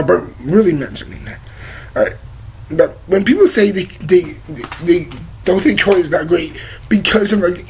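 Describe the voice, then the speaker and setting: A young man with a high voice, croaky and cracking because he is sick. He rambles through a movie review, gesturing and swearing bluntly, recorded on a webcam in a living room. A faint hiss sits under his voice. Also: low-quality recording. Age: young adult. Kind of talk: casual movie review vlog. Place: living room with leather couch, indoors. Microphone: webcam at desk distance.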